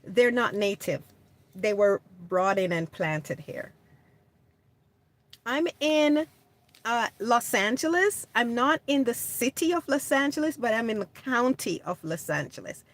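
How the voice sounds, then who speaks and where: Slow-talking chatty woman livestreaming. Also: on the high side, lively intonation. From Jamaica. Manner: chatty, laughs often, answers live comments. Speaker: livestream host. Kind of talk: casual chat answering viewers. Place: home in California.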